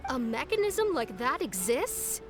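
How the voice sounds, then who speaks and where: A woman voicing a sceptical, sharp-minded academic assistant in a game, questioning and insistent. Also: high-pitched, sing-song, unhurried, music in the background.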